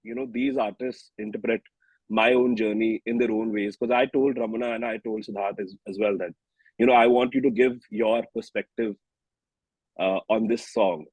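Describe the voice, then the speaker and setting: Low-voiced Indian man, slightly husky, chatting. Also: fairly even intonation, moderate pace, darkish tone, no echo, poor audio. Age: early 30s. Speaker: singer-songwriter being interviewed. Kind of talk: casual interview chat.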